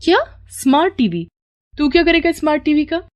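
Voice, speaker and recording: A woman's voice: high voice, sing-song, moderate pace, pitched above the performer's own voice. A woman voicing a poor, worried, caring mother in a moral story, earnest and homely as she pleads and scolds. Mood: surprised.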